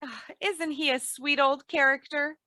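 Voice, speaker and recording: A woman playing a patient, sensible, gently teasing housewife in old-time radio comedy, with a folksy, gently chiding manner. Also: high-pitched, steady tone, unhurried, heavy background noise. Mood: wry.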